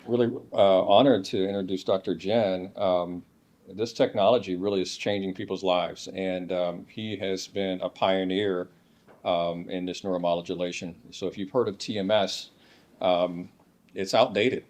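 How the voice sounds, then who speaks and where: A man hosting a conference session, warmly introducing the next speaker with plenty of ums. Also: deep voice, steady tone, moderate pace. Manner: enthusiastic, with frequent ums.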